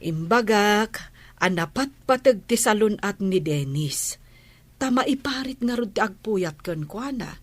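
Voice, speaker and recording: A woman's voice: mid-range voice, expressive, unhurried, no echo. A woman with an Ilocano accent playing a caring, deferential household nanny in an audio drama. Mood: earnest, deferential. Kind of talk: Ilocano radio drama acting.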